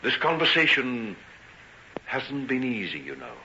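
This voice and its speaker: An actor playing a tormented, self-sacrificing gentleman doctor in old-time radio drama, speaking in earnest, formal, theatrical phrases. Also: mid-range voice, very animated, a little slow, vocal fry, exaggerated voice. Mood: solemn, sincere. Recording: dull, noisy old radio recording.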